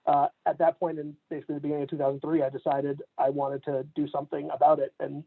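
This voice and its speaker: A man's voice: fairly high pitch, fast talker, vocal fry, breathy edge, narrow, tinny audio. A man around forty, a campaign manager, talking casually in a remote interview, laughing often between his points. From Canada, raised in Atlanta. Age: 40s. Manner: relaxed, laughs often, many fillers.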